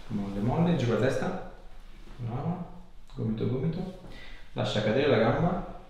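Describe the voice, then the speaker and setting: A man's voice: medium pitch, animated, measured pace, creaky, a little mellow. A man working as a chiropractor, giving short, reassuring instructions to a patient during hands-on treatment. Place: small clinic treatment room. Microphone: camera a few steps away. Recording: echoey room, distant mic, background noise.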